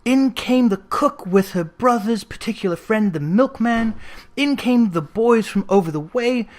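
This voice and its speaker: A reader giving an expressive, dramatic narration of a classic story, leaning into lists with emphasis. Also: mid-range voice, measured pace. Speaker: audiobook reader.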